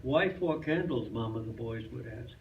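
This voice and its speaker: Man, storyteller reading aloud, medium pitch, echoey distant room, slightly muffled. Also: expressive, normal speed, slight background noise. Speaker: storyteller at a club reader's theater. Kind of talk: reading a folk tale aloud. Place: clubhouse, live performance.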